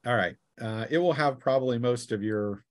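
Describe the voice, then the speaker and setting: A man working as game master, narrating a tabletop fantasy session in a halting way, thinking aloud as he goes. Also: medium pitch, no room sound, studio quality.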